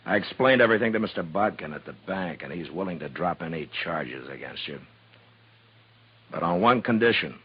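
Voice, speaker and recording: An actor playing a steady, authoritative frontier marshal in a 1950s radio Western, speaking in a terse, firm way. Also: male voice, sits low, brisk, breathy edge. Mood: reasoning. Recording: muffled, hissy 1950s radio recording.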